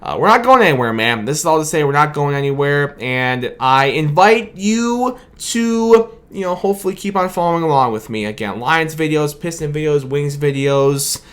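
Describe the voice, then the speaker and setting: A man's voice: a little high, animated, normal speed, few pauses, a bit bright. A tired young man, his voice starting to go late at night, rambling through a long, grateful sign-off to his sports reaction video. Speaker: sports fan video host. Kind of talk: late-night post-game reaction monologue. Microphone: camera mic with his own light.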